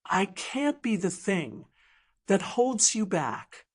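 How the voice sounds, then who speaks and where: A woman voicing a quiet, tender middle-aged man in an audiobook romance, gentle and soft-spoken. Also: mid-range voice, big pitch swings, takes it slowly, breathy edge, full character voice. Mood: tender, wistful.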